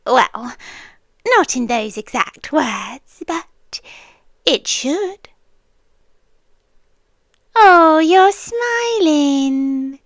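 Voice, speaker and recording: Woman acting a very slow, cooing British yandere girlfriend. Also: high-pitched, big pitch swings, no room sound, studio quality, exaggerated voice. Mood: smug, coaxing. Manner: cooing, breathy asides that drop into whispers. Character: possessive, doting yandere landlady girlfriend, sweetly menacing. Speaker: ASMR roleplay performer. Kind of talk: ASMR roleplay, slow intimate acting. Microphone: close, dry microphone.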